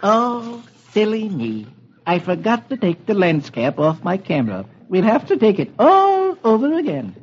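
A man playing a mild, hesitant, fussy photographer in an old radio comic-strip show, with a husky voice. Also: high-pitched, wildly swooping pitch, measured pace, a little hiss or hum, heavily acted. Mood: flustered. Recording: dull old radio recording.

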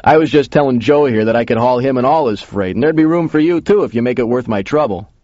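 A man's voice: medium pitch, fast, darkish tone, lightly acted. A man voicing a big, breezy, jovial pilot for hire in an audio story. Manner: breezy, easygoing, mercenary. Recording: muffled, roomy recording.

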